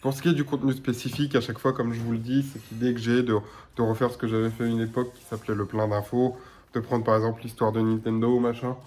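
A man in his thirties with a blocked nose and slightly hoarse voice, chatting casually to his webcam at home as a gaming YouTuber, rambling with lots of filler sounds. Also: medium pitch, flat delivery, quick pace, a little mellow. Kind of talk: casual to-camera chat. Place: small room at home.

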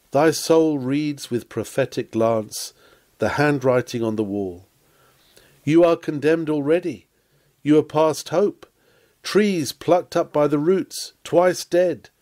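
A man of medium pitch reads a historic sermon aloud. His delivery is dramatic, old-fashioned oratory, solemn and declamatory. He exhorts and questions the listener directly as a preacher would. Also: darkish tone.

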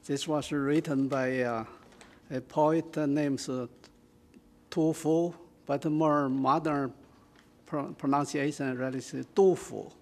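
A retired older man with a Chinese accent, halting and full of fillers, introducing and explaining a poem to a small audience. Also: a little high, fairly even intonation, a little mellow. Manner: halting, with many fillers and restarts. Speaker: poetry reader. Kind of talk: informal reading and explanation at a community event. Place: small community room with an audience. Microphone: stand microphone.

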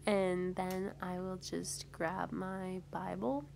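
A young woman narrating her morning routine in a casual, soft-spoken way while getting over a cold. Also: lowish voice, slow pace, vocal fry, slight background noise. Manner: relaxed, soft-spoken, with light laughs. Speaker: vlogger. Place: bedroom at home.

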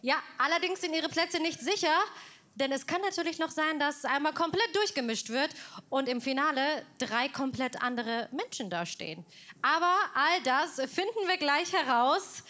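A woman presents a TV music show with a high, bright, forceful voice. She moves briskly through scripted links and introduces each act. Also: animated. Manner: brisk, announcing. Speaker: show host.

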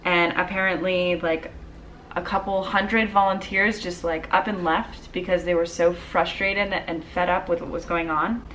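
A woman's voice: lowish voice, fairly even intonation, unhurried, fairly bright tone, distant mic, a little hiss or hum, rough recording. A young woman in her twenties telling a casual storytime vlog at home, chatty with lots of "like" and "um". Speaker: vlogger. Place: dim living room at home.

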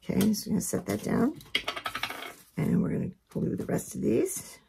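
A woman with a hoarse voice from a head cold, giving a relaxed, chatty craft tutorial at her work table. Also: medium pitch, moderate pace, a little hiss or hum. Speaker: craft instructor. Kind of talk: casual step-by-step tutorial.

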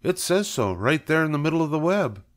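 Awed earnest old-timey farmer , guy doing him, higher than the narrator, insistent. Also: very animated, fairly quick. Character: Mr. Zuckerman, awed middle-aged farmer.

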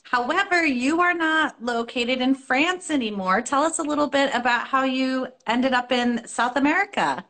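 A woman's voice: high voice, normal speed, few pauses. A woman in her thirties hosting a live stream from home on a phone, speaking in an enthusiastic, heartfelt way about love and nourishment. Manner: warm-hearted, spiritual, enthusiastic. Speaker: host. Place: indoors, home room. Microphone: phone camera, video call.

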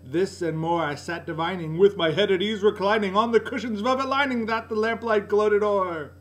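A man's voice: sits high, moderate pace. A middle-aged man giving a dramatic, theatrical recitation of a gothic poem in an indoor room. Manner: theatrical, swinging from hushed murmurs to loud cries. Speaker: poetry reader, library director. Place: indoor room by a curtained window. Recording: noisy room recording with steady hum.

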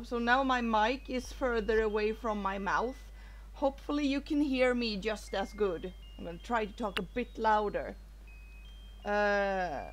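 Woman streamer, relaxed let's-play chat, unhurried, faint game music behind. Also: on the high side. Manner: chatty, thinking aloud. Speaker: streamer playing a visual novel. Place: room at home. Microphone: headset.

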